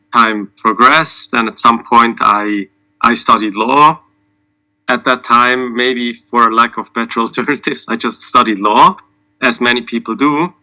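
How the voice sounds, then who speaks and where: A man from Switzerland speaking as a panelist, giving an informal personal account in a halting, self-deprecating way. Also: medium pitch, bright, forceful tone, poor audio. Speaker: panelist, sports lawyer.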